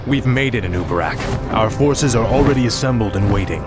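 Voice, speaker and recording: A man's voice: fairly low pitch, animated, a little fast, close to an everyday voice. An actor playing a grim, resolute fallen king turned undead death knight in a video game cutscene. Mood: relieved. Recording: game music behind voice.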